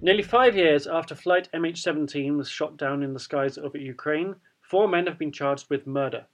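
A man's voice: on the high side, moderate pace, faint music behind, poor audio. A man co-hosting a news podcast, chatting casually and then reading news items, laughing easily. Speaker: podcast co-host. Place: lives in the Netherlands.

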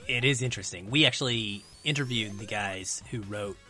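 A man's voice: on the low side, normal speed, bright, forceful tone. Earnest man discussing films over faint music. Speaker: podcast guest. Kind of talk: casual film discussion.

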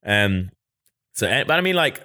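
A man's voice: fairly low pitch, normal speed. Man telling a story. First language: Welsh. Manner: animated, swearing, mocking quoted voices. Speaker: podcast host. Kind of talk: casual podcast storytelling.

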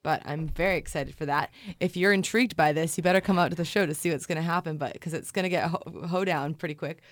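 A woman's voice: sits low, fast talker, no echo. Jokey, self-deprecating woman interviewed. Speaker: singer-songwriter guest. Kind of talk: casual interview chat. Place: radio studio. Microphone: studio microphone.

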